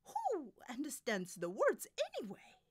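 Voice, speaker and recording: A woman's voice: on the high side, huge pitch swings, measured pace, slightly rough, pitched above the performer's own voice, exaggerated voice. A woman voicing a bold, dramatic female opera singer in a storybook, emphatic and theatrical. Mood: dismissive.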